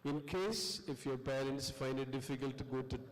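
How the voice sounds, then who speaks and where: A man with a South Indian accent hosting a class session, giving informal instructions in a halting, repetitive way. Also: medium pitch. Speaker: lecturer and session host. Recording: long echoing hall reverb.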